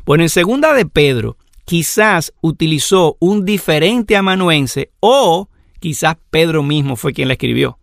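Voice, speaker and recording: Gravelly-voiced man teaching Bible lessons. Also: fairly high pitch, lively intonation, no echo, clean studio sound. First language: Spanish. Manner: measured, explanatory, lists examples. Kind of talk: lecture on scripture.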